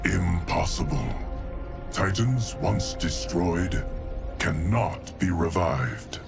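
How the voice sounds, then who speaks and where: Deep, slow, impassive keeper of time. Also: male voice, animated, creaky, heavily acted. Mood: detached, certain. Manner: slow, formal, detached. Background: loud cinematic music.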